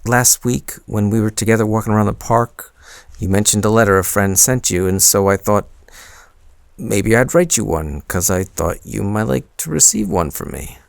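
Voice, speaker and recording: A man's voice: lowish voice, expressive, moderate pace, creaky, dry recording. A man playing a shy, smitten young man in an intimate boyfriend roleplay audio, speaking hesitantly with bashful stammers. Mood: flustered, embarrassed.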